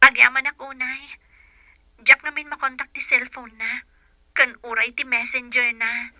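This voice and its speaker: A woman's voice: high-pitched, level tone, measured pace, sharp tone, no echo, full character voice. A woman acting a young woman phoning a friend for help in a radio drama, with an Ilocano Filipino accent. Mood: pleading, grateful.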